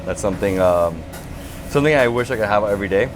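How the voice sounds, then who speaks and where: A man's voice: lowish voice, lively intonation, fast talker, music in the background. A man hosting a food vlog, chatting casually with cooks in a kitchen and asking lots of questions. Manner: curious, asks lots of questions. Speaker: travel food vlogger host. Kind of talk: casual on-location chat. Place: restaurant kitchen. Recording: noisy kitchen background.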